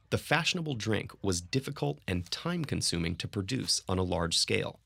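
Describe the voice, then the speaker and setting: A man giving scripted narration for an educational video in a smooth, measured storytelling way. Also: fairly low pitch, a bit bright. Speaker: documentary narrator.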